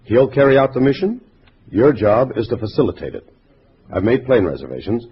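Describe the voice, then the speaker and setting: A man playing a brisk communist cell boss in a 1950s radio drama, giving curt, authoritative orders. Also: medium pitch, big pitch swings, slightly rough. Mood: commanding. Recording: dull, faintly noisy old radio recording.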